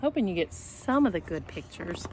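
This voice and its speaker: A woman reading a children's story aloud in a playful, expressive way, with asides addressed to the young listeners. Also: fairly low pitch, normal speed, a little hiss or hum, rough recording. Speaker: storybook reader.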